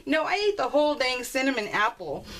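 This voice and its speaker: Chatty giggly lady rambling thru a craft vid at home, kinda high voice, bit of noise and clicks behind her. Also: a little fast. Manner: giggly, self-deprecating, chatty; animated storytelling with exclamations and self-quotes. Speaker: craft video host. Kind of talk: casual rambling chat over a craft process video. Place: at home, voice recorded live while crafting. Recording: noisy home recording with clicks.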